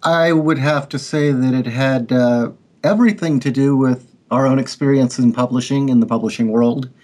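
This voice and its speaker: A man who writes and draws speaks in a measured, critical tone, pausing on fillers. His voice sits at a middle pitch. He is a guest on a podcast panel. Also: steady tone, a little mellow. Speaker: writer and artist.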